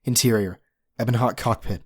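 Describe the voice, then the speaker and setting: A man's voice: mid-range voice, steady tone, brisk, very noisy. A man narrating a scripted audio drama, reading scene directions in a dramatic manner.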